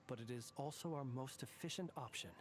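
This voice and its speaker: A man voicing a calm, serious young investigator in a game, speaking in a measured, matter-of-fact way. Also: mid-range voice, husky, faint music behind, close to an everyday voice. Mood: composed. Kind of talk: game voice acting.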